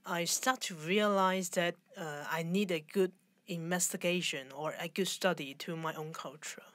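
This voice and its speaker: Low-pitched Hong Kong woman in interview. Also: steady tone, a bit bright, no room sound. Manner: halting non-native English phrasing. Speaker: interview guest, fashion designer. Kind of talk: conversational interview.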